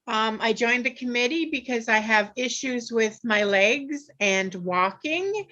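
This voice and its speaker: Woman, shy, brief, very slow, bright tone. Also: on the high side, highs cut off. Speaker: committee member. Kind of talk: casual meeting chat. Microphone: video call.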